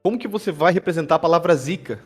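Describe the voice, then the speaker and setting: Fast-talking Brazilian man hosting a chat. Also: a little high, slightly rough. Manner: chatty, questioning, frequent "né?" tags. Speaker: podcast host. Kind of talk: casual conversational interview.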